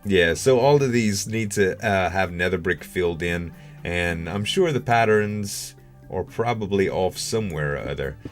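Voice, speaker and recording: A man's voice: low-pitched, animated, measured pace, faint music behind. A man giving casual gaming commentary as a video host, rambling and thinking aloud.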